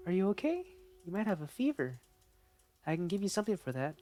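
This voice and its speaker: A possessive young woman who coos and fusses over her partner, played by a man reading the story aloud, her voice lifted high and bouncing with false sweetness. The reading moves at an easy, unhurried pace. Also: very animated, mellow, faint music behind. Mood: fussing. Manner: syrupy, coaxing, talks down.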